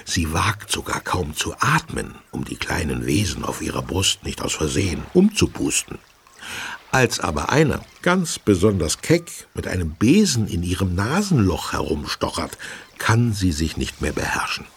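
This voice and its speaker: A man narrating a children's story in an expressive, dramatic, husky voice. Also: deep voice, moderate pace, exaggerated voice. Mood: vivid, suspenseful. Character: lively male storyteller.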